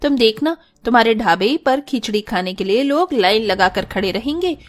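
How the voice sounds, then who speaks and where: A woman's voice: a little high, expressive, normal speed, lightly acted. A woman playing a kind, reassuring middle-aged mother in a cartoon story. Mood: affectionate encouragement.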